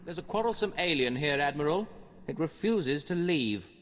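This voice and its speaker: Officious game lieutenant: stiff, easily rattled, lively intonation, long reverb. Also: male voice, on the high side, moderate pace. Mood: irritated. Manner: stiff, snooty. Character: officious, easily flustered male imperial lieutenant secretary. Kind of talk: video game voice acting.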